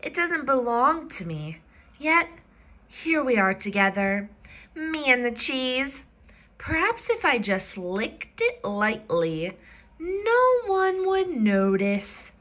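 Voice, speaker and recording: A woman's voice: high-pitched, big pitch swings, takes it slowly, fairly bright tone, full character voice. A woman voicing an earnest, fretful male pet dog who muses to himself in a storybook. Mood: tempted. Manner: musing to himself in storybook read-aloud.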